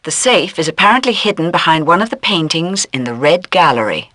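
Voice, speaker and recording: An actress playing a stern intelligence handler giving crisp, formal mission briefings in a video game. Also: female voice, fairly low pitch, animated, a little fast, a bit bright, no echo. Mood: stern, businesslike. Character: stern, businesslike intelligence handler directing a secret agent.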